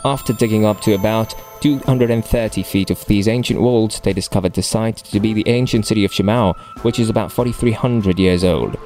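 Guy narrating a top-ten mystery list, lowish voice, even read, soft music under. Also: brisk, few pauses. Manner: even, reading a list script. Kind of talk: scripted documentary narration.

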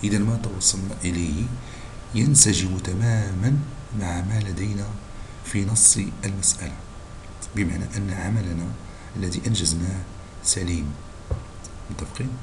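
A male math teacher with a Moroccan Arabic accent, hoarse and husky from a cold, explaining a lesson slowly and patiently and checking in with his students. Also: low voice, fairly even intonation, background noise. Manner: slow and patient, checking in with listeners. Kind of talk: screen-recorded math lesson.

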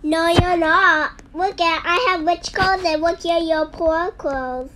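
Female voice as a very high-pitched little sister. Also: slow pace, background noise. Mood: defiant. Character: sweet, eager little sister, a young girl. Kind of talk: amateur voiced game roleplay drama.

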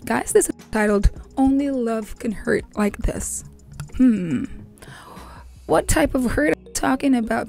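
A young woman hosting a reaction video, talking casually to the camera in a hoarse voice, playful and rambling, into a handheld microphone in a small dark room. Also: on the high side.

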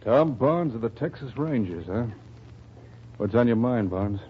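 An actor playing a hard, crooked, curt Western sheriff with an American accent in an old radio drama. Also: male voice, medium pitch, lively intonation, a little slow, natural voice. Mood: menacing. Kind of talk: 1940s radio Western acting. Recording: dull, husky old radio recording.

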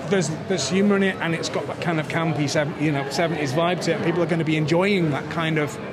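A man's voice: a little high, fairly even intonation, fast talker. An older man with a handheld mic, interviewing a guest at a festival media wall in a chatty, rambling way full of restarts. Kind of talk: casual interview. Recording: noisy crowd around. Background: crowd chatter.

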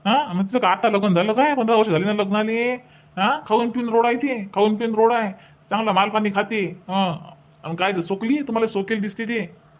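Man voicing a high-pitched, fast, grumbling village fellow. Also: lo-fi sound, close to an everyday voice. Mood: defensive, indignant. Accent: Varhadi Marathi. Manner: rattles on, repeating phrases. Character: indignant, grumbling village son-in-law and his brother-in-law. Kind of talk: comedy cartoon voice acting.

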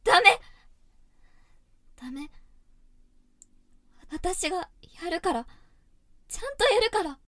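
A woman's voice: extremely high pitch, lively intonation, slow, long pauses, a bit bright, no room sound, full character voice. A woman voicing an anguished young werewolf girl in a voice drama, resolute but emotional. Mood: desperate, tearful. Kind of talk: Japanese voice drama acting.

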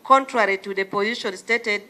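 A woman's voice: medium pitch, normal speed, bright, forceful tone, slight background noise. A middle-aged woman member of parliament reading a formal statement at a desk mic in a committee room. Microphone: desk gooseneck mic.

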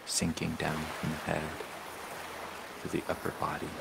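A man leading a guided meditation in a slow, soothing, measured way with long pauses. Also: rumbling low, monotone, vocal fry. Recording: loud ambient water noise throughout. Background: flowing water and ocean ambience.

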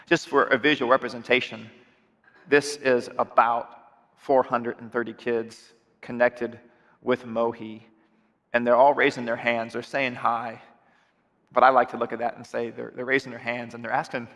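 A man's voice: mid-range voice, a bit bright. A man in his forties preaching an earnest sermon appeal from a church stage, often restarting and repeating words. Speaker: pastor. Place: dark church stage with screens. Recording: long reverb of a large hall.

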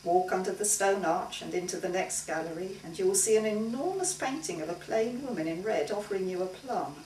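A woman's voice: medium pitch, lively intonation, a little fast, a little mellow. A middle-aged woman reading a short story aloud as scripted first-person narration in a living room. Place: indoors in a living room at a home gathering. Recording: roomy, distant mic, faint hiss.

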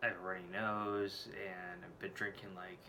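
A man's voice: deep voice, flat delivery, normal speed, pushed and bright. A man in his late twenties with a head cold and a scratchy throat, talking candidly and rambling in a casual vlog, swearing freely, recorded at home on a desk camera. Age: late 20s. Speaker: vlogger. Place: small room at home, indoors.

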